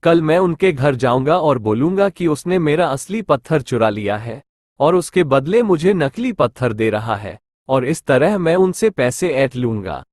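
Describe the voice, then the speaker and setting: Cunning cartoon merchant male actor, sly and greedy, lively melodic delivery, close dry sound. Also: a little high, a little slow, no echo. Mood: scheming, gloating. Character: greedy, cunning village merchant swindler, a man. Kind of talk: Hindi cartoon story dialogue.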